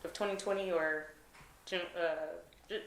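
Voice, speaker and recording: Young woman, candid interview guest; earnest, halting delivery, echoey room with light noise. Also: on the low side, fairly even intonation. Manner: earnest, hesitant with many fillers. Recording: roomy with faint noise.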